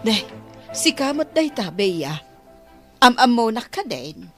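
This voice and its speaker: Lively, nosy older Filipino auntie played by actress. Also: female voice, on the high side, slow pace, loud music behind the voice, exaggerated voice. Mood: surprised, prying. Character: chatty, nosy older neighbourly aunt. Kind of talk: radio drama acting.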